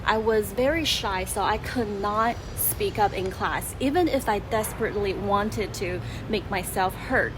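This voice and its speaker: A woman's voice: mid-range voice, measured pace, few pauses. A young woman from Taiwan whose first language is Chinese, telling her story and giving advice as a channel host in a chatty, teacherly way. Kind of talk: casual vlog storytelling. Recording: noticeable background noise.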